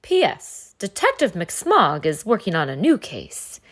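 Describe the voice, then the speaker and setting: Female storybook narrator: expressive, unhurried, with wide swings of pitch. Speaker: storybook reader. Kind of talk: expressive children's read-aloud narration.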